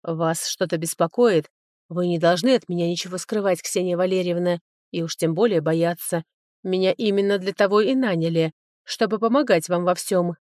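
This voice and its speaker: A woman reading the lines of a calm, polite male caregiver in an audiobook novel, soft-spoken and reassuring. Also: lowish voice, moderate pace, no room sound, studio quality, natural voice. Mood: gentle, reassuring.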